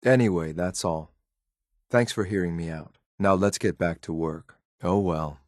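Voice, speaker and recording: A man acting as a stoic, loyal but ruthless synthetic soldier in a video game, with a clipped, military, deadpan delivery. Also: deep voice, measured pace, no echo, clean studio sound. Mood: earnest, restrained. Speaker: companion character in a video game. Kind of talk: scripted game voice lines.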